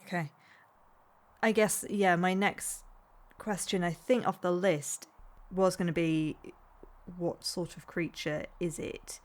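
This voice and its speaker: A woman's voice: lowish voice, steady tone, slow pace. A woman playing a tabletop roleplaying game, talking casually in a thoughtful, questioning way.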